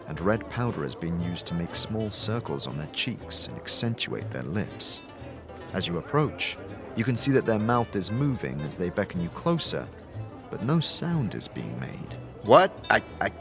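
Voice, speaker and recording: A man giving dramatic second-person narration as the narrator of a role-playing audio drama. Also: fairly low pitch. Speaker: narrator and game master.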